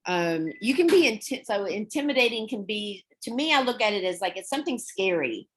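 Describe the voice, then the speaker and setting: A young adult woman teaching an online English class, explaining words in a chatty, relaxed way and laughing often. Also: mid-range voice, brisk.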